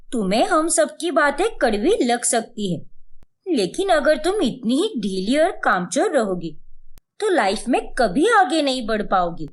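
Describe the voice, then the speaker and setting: Woman as a frank, critical young relative, slow-paced. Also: high voice, exaggerated voice. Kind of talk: acted animated moral story.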